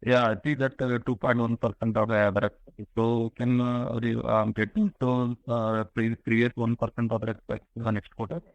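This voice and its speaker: A man with an Indian accent calling in as an investment analyst, asking questions haltingly and searching for words. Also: medium pitch, level tone, no room sound, muffled recording. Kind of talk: business questioning on a call. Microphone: conference call line.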